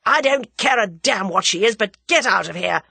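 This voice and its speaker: A woman's voice: fairly low pitch, brisk, fairly bright tone, husky, no room sound. A woman voicing a heartbroken, hot-tempered young man who lashes out in angry outbursts.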